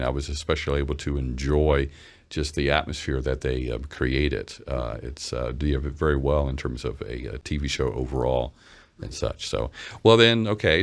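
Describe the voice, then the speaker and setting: Very deep-voiced man hosting an interview. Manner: halting, rambling phrasing. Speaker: interview host. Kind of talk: casual interview questions.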